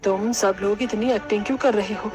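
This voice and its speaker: A woman's voice: medium pitch, steady tone, a little fast, music in the background, close to an everyday voice. A woman voicing a haughty, scornful middle-aged mother-in-law in a cartoon, proud of being fashionable. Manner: scolding, disdainful.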